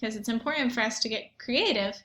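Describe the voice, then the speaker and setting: A woman teacher reading aloud and talking to young children in an expressive storytelling manner, with questions and asides. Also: fairly high pitch, fast, echoey room. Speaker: primary school teacher reading aloud to pupils.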